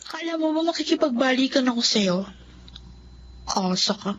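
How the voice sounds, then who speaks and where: A woman's voice: high-pitched, expressive, moderate pace, dull audio. A woman acting a hot-tempered, sarcastic, hurting teenage daughter in a Filipino radio drama, with a snappy, defiant delivery and a Filipino accent.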